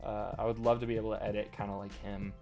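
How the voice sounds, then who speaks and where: A man casually talking while he plays a game, halting and rambling with a slight stutter and lots of fillers. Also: fairly low pitch, little pitch movement, creaky, dark tone. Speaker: gaming channel host. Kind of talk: casual commentary while playing. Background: faint lo-fi music and keyboard clicks.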